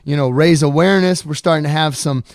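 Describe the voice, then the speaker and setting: A man co-hosting a podcast, enthusiastic and jokey, chiming in with quick affirmations. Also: fairly high pitch, no room sound, studio quality. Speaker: podcast co-host. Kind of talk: casual chat.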